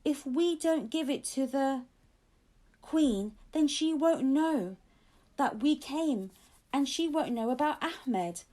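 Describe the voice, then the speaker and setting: A woman voicing an earnest, excitable schoolchild in a storybook, pleading and insistent. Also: high-pitched, takes it slowly, voice pushed up. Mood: worried, pleading.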